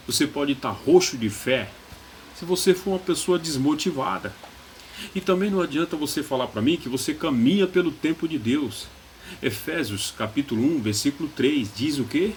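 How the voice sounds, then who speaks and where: A man's voice: mid-range voice, slight background noise. A man with a Brazilian accent preaching motivationally as a pastor and coach, emphatic, repeating key phrases and asking rhetorical questions.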